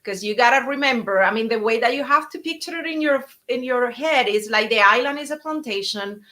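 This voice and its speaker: A woman's voice: fairly high pitch, brisk. A woman from Cuba with a Cuban Spanish accent, chatting casually on a podcast as a guest and telling stories with many fillers and restarts. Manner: animated, storytelling, frequent fillers and restarts. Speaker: guest speaker.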